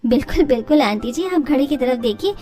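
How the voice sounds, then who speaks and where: Woman voicing a high-voiced confident young daughter-in-law. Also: lively intonation, fairly quick, warm tone. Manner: polite, confident. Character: clever, self-satisfied young daughter-in-law in family story.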